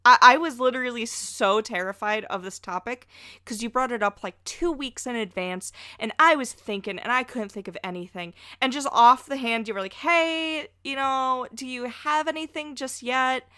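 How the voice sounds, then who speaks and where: Casting: female pop-culture podcast guest, effusive, bright tone, very dry sound. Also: on the high side, moderate pace, no echo.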